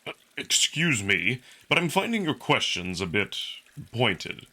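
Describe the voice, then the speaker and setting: A man's voice: mid-range voice, sing-song, measured pace, sharp tone, heavily acted. A man voicing a hesitant, guarded young human male with a defensive way of speaking in a visual novel. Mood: uneasy.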